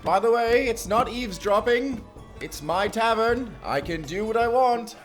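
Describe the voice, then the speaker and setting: Casting: cheeky male tiefling barkeep played by a man, higher male voice, very animated and smug, fantasy audio drama with music behind. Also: a little slow. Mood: smug, playful. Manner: smug, playful, breezy. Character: cheeky, self-satisfied male tiefling tavern keeper.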